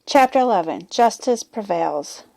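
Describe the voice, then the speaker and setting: A woman's voice: medium pitch, expressive, moderate pace, creaky, darkish tone, no room sound, low-quality recording. A woman reading a children's storybook aloud as the storyteller.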